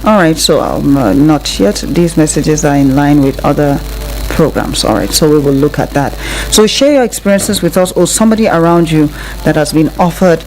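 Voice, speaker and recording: A woman's voice: low voice, expressive, moderate pace, a little mellow, faint music behind, rough recording. A woman with a Nigerian accent hosting a live call-in radio show, chatting courteously with listeners. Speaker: radio host. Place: radio studio.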